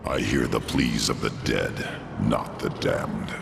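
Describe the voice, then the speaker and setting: A man voicing a solemn, merciless undead judge of souls in a fantasy game, grave and portentous, with a deep gravelly voice. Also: slow, very noisy, exaggerated voice. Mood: solemn, stern. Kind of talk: dramatic fantasy game acting. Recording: game cutscene with loud music.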